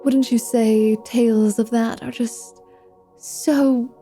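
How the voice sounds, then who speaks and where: Lady doing a sly flirty vampire faking piety, highish mellow voice, slow and snarky, asmr roleplay w music behind. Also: fairly even intonation. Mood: feigned piety. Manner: teasing, sarcastic, feigned piety. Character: sly, flirty, irreverent vampire woman posing as pious paladin. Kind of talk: ASMR roleplay audio drama acting.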